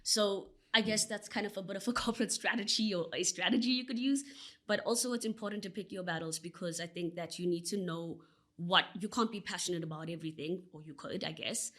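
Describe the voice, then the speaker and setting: Earnest South African woman, lowish voice. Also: a bit bright. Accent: South African English. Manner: earnest, expansive, frequent "you know" fillers. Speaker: podcast guest. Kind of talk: conversational interview answer.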